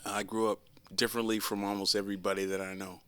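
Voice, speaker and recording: Older man from the Boston area, low pitch, dry close sound, easygoing panel storyteller. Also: fast, clean tone, no room sound. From Boston area, Massachusetts. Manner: relaxed, chuckles while telling stories. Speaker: panel guest. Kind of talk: casual storytelling.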